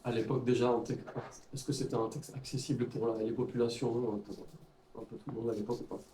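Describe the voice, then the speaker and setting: A man's voice: mid-range voice, little pitch movement, fast talker, dark tone, slight background noise. A man in the audience asking a hesitant question. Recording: echoey room, far from the mic.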